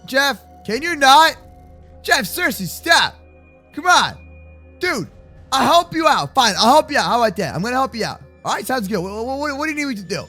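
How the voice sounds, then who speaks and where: A young man with a very high, bright voice hosts a gaming roleplay. His pitch swings widely as he exclaims and repeats words in slangy, excitable bursts. Faint music plays behind him. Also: fairly quick. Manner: slangy, addresses partner as bro and man. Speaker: gaming video host and roleplayer. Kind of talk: improvised Minecraft roleplay commentary for kids.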